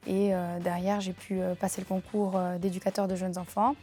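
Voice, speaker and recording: A young woman describing her studies and goals, pausing often to search for words. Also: lowish voice, flat delivery, mellow. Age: young adult. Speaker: interviewee. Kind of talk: spontaneous personal account.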